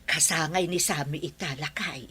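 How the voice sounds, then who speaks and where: A woman playing a husky forgetful Ilocano grandmother. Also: low voice, normal speed, bright, forceful tone, heavily acted. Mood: worried, regretful. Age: older. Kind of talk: radio drama acting.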